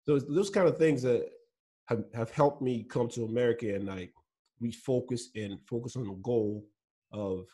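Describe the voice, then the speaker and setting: A man's voice: medium pitch, moderate pace, warm tone, husky. A man from Nigeria whose first language is Igbo, telling his story as a guest in an earnest, easygoing way and laughing between points. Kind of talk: casual interview talk.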